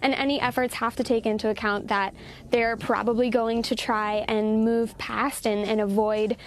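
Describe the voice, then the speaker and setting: A woman reporter giving live news analysis in an explanatory, measured way with long, detailed sentences. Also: a little high, level tone. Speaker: political reporter interviewed as a news commentator.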